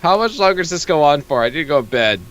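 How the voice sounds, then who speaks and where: A man's voice: on the high side, expressive, very fast, fairly bright tone, dull audio. A man in casual, joking banter as co-host of a comic reading.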